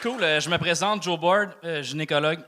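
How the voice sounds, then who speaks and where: A man with a Québécois accent performing a stand-up routine, delivering crude punchlines flatly between filler pauses. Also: on the high side, over a noisy crowd, very noisy. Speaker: stand-up comedian. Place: comedy club stage.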